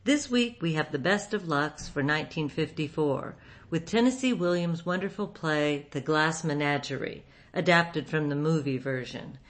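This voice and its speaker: A woman's voice: deep voice, normal speed. A woman reading a scripted introduction as host of a radio program.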